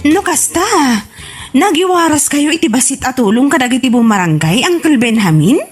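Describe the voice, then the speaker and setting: An actress with an Ilocano accent playing an excitable, opinionated village woman in a radio comedy drama, speaking emphatically and expressively. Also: high-pitched, moderate pace, faint music behind. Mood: persuasive.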